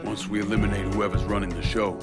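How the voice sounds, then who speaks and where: An actor as a deep-voiced, hard young veteran. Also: male voice, little pitch movement, fast, lightly acted. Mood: cool, determined. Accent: American. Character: hard, confident young Vietnam veteran bent on payback. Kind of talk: dramatic video game acting. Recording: music behind the voice.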